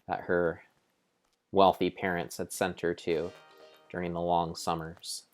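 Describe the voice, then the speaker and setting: Deep-voiced man in theatrical storytelling mode. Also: little pitch movement, faint music behind. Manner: theatrical, deliberate, drawn-out phrasing. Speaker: streamer narrating a game as a story. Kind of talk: improvised dramatic storybook-style narration of gameplay.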